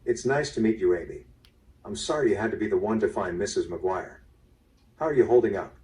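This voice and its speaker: A man's voice: lowish voice, fairly quick, warm tone, natural voice. An actor playing a plain-spoken, tactlessly friendly construction foreman in a mystery audio drama, talking casually and directly. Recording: distant, played through a small speaker.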